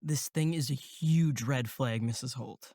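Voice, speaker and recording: A woman playing an easygoing, friendly young male camp counselor in a horror audio drama, with a gentle, peacemaking manner. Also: rumbling low, unhurried, dry recording, studio quality, heavily acted. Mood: concerned.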